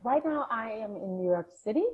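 A woman's voice: a little high, lively intonation, brisk, husky. A middle-aged woman from Denmark, a singer and podcast guest, chatting casually and laughing often between phrases. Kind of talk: casual phone interview. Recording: dull lo-fi phone line with faint hiss.

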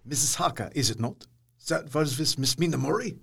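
A gravelly, fairly high-voiced male doctor for a radio drama. He is courtly and grave, phrasing things formally and haltingly in the syntax of a foreigner. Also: background noise. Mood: grave, courteous.

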